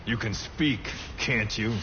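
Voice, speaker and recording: Low-voiced, menacing military interrogator. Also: male voice. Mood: cold, mocking menace. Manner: slow, taunting, deliberate questioning. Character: menacing male military interrogator, calm and mocking. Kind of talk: video game voice acting. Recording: muffled, noisy cassette-tape playback stream.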